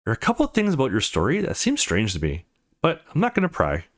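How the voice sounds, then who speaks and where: A man voicing a friendly, caring adult woman from a visual novel, chatty and gently concerned. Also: medium pitch, huge pitch swings, quick pace.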